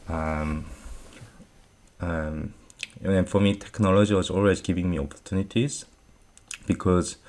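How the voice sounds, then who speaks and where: Korean-born man, korean native speaker, very low voice, unhurried, hesitant with lots of ums, loose non-native grammar. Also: a little mellow. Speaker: vlog host answering viewer questions. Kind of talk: unscripted Q&A advice talk.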